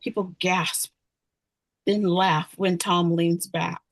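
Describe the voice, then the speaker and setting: A woman's voice: on the low side, level tone, slow pace, no echo. Dry-sounding woman narrating a screenplay. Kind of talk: scripted narration of screenplay directions.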